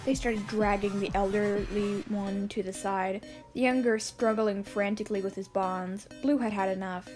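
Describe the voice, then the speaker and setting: A woman's voice: medium pitch, fairly even intonation, moderate pace, darkish tone, faint music behind. A woman reading scripted fan fiction as the narrator of an audio story. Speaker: podfic narrator.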